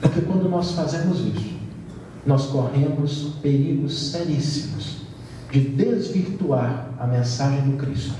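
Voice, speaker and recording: A man with a Brazilian accent giving a spiritist lecture to an audience, measured and emphatic with light touches of humor. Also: mid-range voice, warm tone. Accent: Brazilian Portuguese. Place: large event hall. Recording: roomy hall sound from far off with faint noise.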